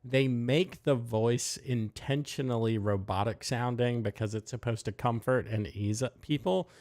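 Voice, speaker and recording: A man's voice: medium pitch, a little mellow. A man co-hosting a live talk show, giving blunt, opinionated takes in casual chat. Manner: blunt, opinionated, quick asides. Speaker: podcast co-host.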